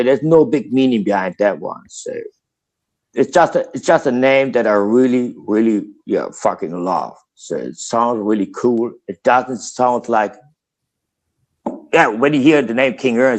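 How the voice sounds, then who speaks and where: A man with a fairly low voice talks loosely and at length, piling on "so" and "uh" as he goes. It is relaxed guest chat on a call. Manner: rambling, filler-heavy, frequent "so" restarts. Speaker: band founder and guitarist guest. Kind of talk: casual interview chat.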